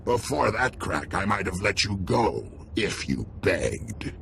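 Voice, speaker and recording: An actor playing a proud, menacing alien ex-soldier villain in a video game, gravelly, taunting and boastful. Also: male voice, deep voice, sing-song, takes it slowly, a bit bright, slight background noise, exaggerated voice. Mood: proud menace.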